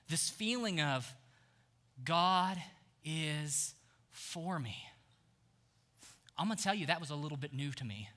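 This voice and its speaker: A man in his thirties preaching an energetic sermon on a church stage, swinging from hushed lines to shouts. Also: on the high side. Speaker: pastor.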